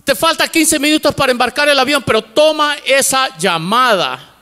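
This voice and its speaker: High-voiced man preaching in a big hall. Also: lively intonation, normal speed, sharp tone, clean tone. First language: English. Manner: fervent preaching with repetition, mixing in English words. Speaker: pastor. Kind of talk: sermon. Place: large church stage with lights. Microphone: handheld microphone. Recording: long hall reverb from church auditorium.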